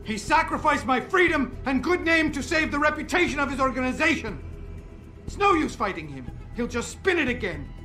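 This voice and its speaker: Casting: broken, proud activist in a game drama, high-pitched and forceful, formal diction, bitter and remorseful. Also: male voice, sing-song, normal speed, faint music behind, heavily acted. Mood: indignant, betrayed. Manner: formal, eloquent, emotionally wrought. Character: despairing, guilt-ridden disgraced male activist, bitter and proud. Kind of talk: dramatic video game voice acting.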